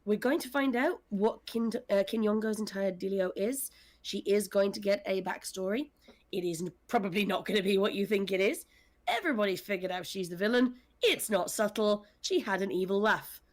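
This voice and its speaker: A woman's voice: mid-range voice, normal speed. A woman speaking casually in a panel discussion as a writer and director, with a wry, self-deprecating manner. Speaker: audio drama scriptwriter and director.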